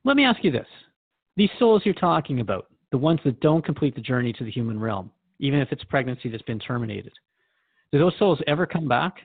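A man's voice: medium pitch, big pitch swings, fairly quick, dry recording, narrow, tinny audio. Man as a thoughtful radio interviewer, dry close sound. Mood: curious, thoughtful. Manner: reflective, questioning. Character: Jeff, thoughtful, inquiring male radio host. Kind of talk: conversational radio drama interview.

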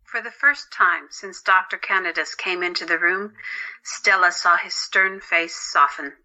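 Female narrator, very bright crisp-edged tone, lowish pitch, scripted storytelling. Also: a little slow, dull audio.

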